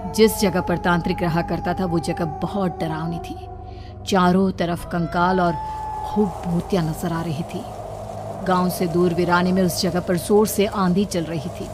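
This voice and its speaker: A woman delivering scripted horror story narration as a narrator. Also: lowish voice.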